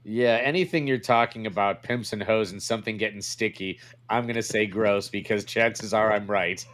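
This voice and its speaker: A man's voice: on the low side, steady tone, moderate pace, a bit bright. A man co-hosting a casual comedy podcast, bantering in a blunt, crude, wisecracking way. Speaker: podcast co-host.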